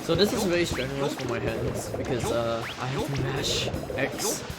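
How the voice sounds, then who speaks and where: A man giving casual live commentary on a video game he is playing, breaking into excitable exclamations. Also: mid-range voice, moderate pace. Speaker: speedrunner commentating on own game. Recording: game music behind the voice. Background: video game music.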